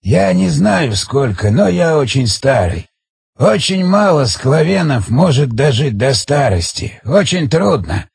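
A man voicing an ancient, frightened underground elder in an audiobook, speaking in slow, hushed, halting phrases. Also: a little high, big pitch swings, vocal fry, breathy edge, no room sound, heavily acted. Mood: weary, recounting.

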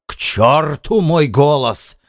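A man's voice: fairly low pitch, very animated, measured pace, no echo, studio quality. A man playing an irritable, demanding, jealous husband in a dramatised audiobook. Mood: angry, interrogating. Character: jealous, stern, domineering Martian husband.

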